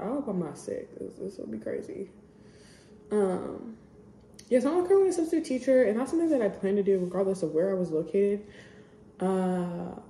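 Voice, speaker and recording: A woman's voice: medium pitch, dark tone, slight background noise. A young woman in her twenties sniffling a little while she chats in a candid, rambling way as a podcast host. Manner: rambling, candid, full of "like" and "I just". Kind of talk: casual personal chat. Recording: echoey room.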